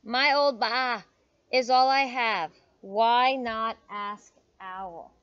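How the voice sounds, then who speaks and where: A kindly old storybook sheep, put on by a woman. It speaks loudly and emphatically with bleats and a lilting high pitch, on a slightly dull recording. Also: extremely slow, smooth.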